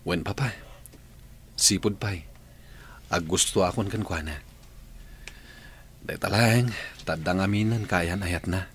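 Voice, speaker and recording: An actor with an Ilocano Filipino accent playing a mild, lovelorn grown son in a radio drama. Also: male voice, deep voice, unhurried, long pauses, a bit bright, a little hiss or hum. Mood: sheepish, earnest.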